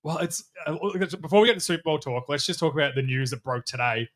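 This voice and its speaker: A man's voice: fairly high pitch, fast talker, fairly bright tone. A man hosting a casual sports podcast, bantering and laughing with his co-hosts. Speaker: podcast host and show owner.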